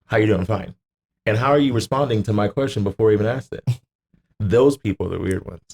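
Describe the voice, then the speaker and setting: A man's voice: lowish voice, fast, gravelly, darkish tone, slight background noise. An American man in casual podcast conversation, rambling and repeating words for emphasis as he goes. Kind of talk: casual chat.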